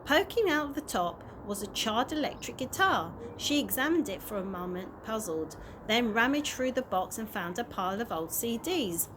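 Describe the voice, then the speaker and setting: Animated woman reading a children's story. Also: fairly high pitch, normal speed, hardly any pauses. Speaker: early years practitioner reading stories. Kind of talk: children's story read aloud. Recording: noisy amateur home recording.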